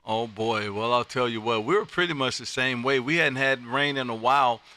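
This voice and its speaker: A man's voice: medium pitch, moderate pace, sharp tone. An American man hosting an amateur radio livestream, chatting casually in a folksy, effusive way. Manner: folksy, effusive, peppers talk with friendly address. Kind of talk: casual ham radio chat.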